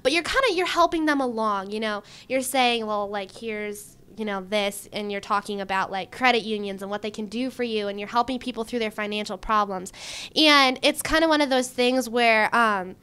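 A young woman from Michigan answering interview questions in a chatty, animated way with lots of ums and likes, into a handheld mic in an indoor room. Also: a little high, moderate pace, clear, resonant tone. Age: young adult. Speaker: job candidate. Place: indoor room before a banner. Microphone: handheld mic held by the interviewer.

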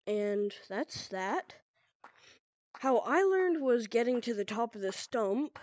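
A woman's voice: a little high, lively intonation, slow pace, darkish tone, lo-fi sound. A young woman with a stuffy nose from a cold, loosely explaining a VR game in a casual tutorial. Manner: loose and rambling, heavy on "pretty much" and "like". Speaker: VR gaming tutorial creator.

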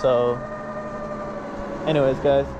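A male vlogger talks casually while riding outdoors on city streets. His voice is mid-pitched and his manner is easygoing. Loud wind and vehicle noise runs behind him throughout. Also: level tone, slow pace, dull audio. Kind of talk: casual ride-along vlog chat.

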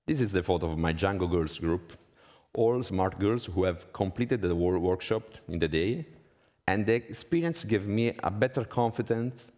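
Male conference speaker, thirties, low voice, Italian accent, measured prepared delivery, long hall reverb. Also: a little mellow. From Italy. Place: conference hall stage, indoors.

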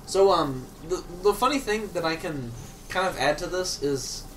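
A let's play co-host chats in a casual, jokey way at a middling pitch. The talk rambles along with the odd swear word, as loose commentary between friends. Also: expressive, normal speed, slight background noise, poor audio.

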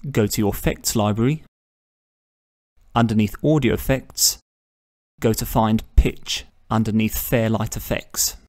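A man with a fairly low voice walks through a software tutorial step by step. His delivery is measured and instructional, like a channel host explaining each click. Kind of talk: step-by-step screen tutorial narration.

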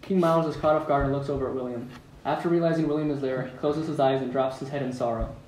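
A man's voice: medium pitch, steady tone, fast, darkish tone. A man reading stage directions as the narrator at a script table read. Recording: echoey room, distant mic, faint noise.

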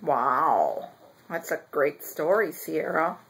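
A woman's voice: low voice, very animated, takes it slowly, a bit bright, poor audio. A grandmother talking casually to a small child and pets in simple, praising, coaxing phrases. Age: middle-aged or older. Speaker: grandmother filming her granddaughter. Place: bedroom at home, indoors. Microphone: handheld camera, speaker behind it. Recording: faint background noise, home video.

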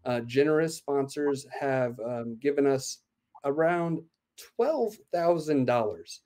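A man's voice: medium pitch, animated, slow pace, dark tone. A man in his thirties, an event organizer, explaining plans in an upbeat way with many "uh" fillers, talking from a room at home over a laptop webcam. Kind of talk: video call interview. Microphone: laptop webcam on video call.

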